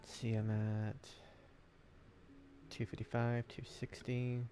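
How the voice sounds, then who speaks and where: A man talking casually and offhandedly while playing a video game, rambling with hesitant pauses. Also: medium pitch, completely flat delivery, warm tone, a little hiss or hum. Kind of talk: casual gameplay chat.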